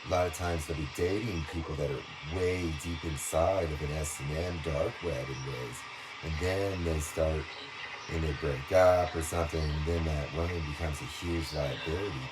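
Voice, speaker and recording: Super deep voiced guy rambling, lots of restarts and trailing off, noisy background. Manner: halting, trailing off, many restarts and fillers. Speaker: video monologue speaker. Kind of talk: rambling casual monologue.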